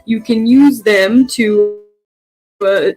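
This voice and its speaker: Woman as a slow, folksy big-cat lady. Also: on the high side, darkish tone, soft background music, poor audio. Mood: sweetly folksy. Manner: halting, folksy, sweetly addressing viewers. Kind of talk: comedy sketch of a crafting video.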